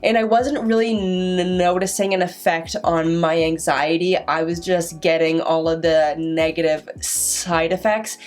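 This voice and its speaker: Slow-talking young woman who stutters. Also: on the low side, flat delivery, faint music behind. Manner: stutters with repeated sounds and word restarts. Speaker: vlogger. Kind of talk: casual vlog talk to camera.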